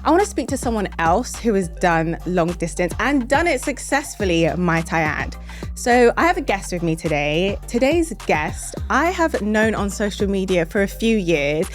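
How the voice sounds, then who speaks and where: A woman's voice: mid-range voice, sing-song, moderate pace, soft background music. A woman in her thirties hosting a podcast in a casual, chatty way, effusive and full of compliments. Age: 30s. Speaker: podcast host. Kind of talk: casual chat.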